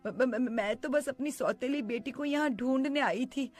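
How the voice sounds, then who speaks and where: A woman's voice: high-pitched, normal speed. An actress playing a stepmother in a children's cartoon story with a dramatic manner. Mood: terrified, pleading. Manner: dramatic, stammering. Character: spiteful stepmother, cowardly, later remorseful.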